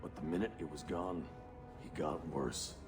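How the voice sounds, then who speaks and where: A man playing a frightened, haunted dwarf servant in a video game, speaking gravely and pleadingly. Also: very deep voice, normal speed, over music. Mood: fearful, pleading. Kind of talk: video game dramatic acting.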